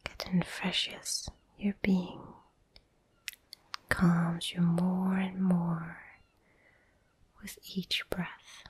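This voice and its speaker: A woman softly whispering a guided ASMR visualization for relaxation and sleep, soothing and unhurried, with long pauses. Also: fairly low pitch, monotone, husky, no room sound.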